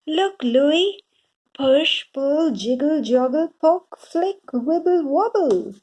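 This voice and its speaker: A woman's voice: sits high, lively intonation, slow pace, darkish tone, pitched above the performer's own voice, rough recording. A woman voicing an eager, exclaiming young schoolboy in a storybook reading. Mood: excited. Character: excitable young schoolboy with a wobbly tooth.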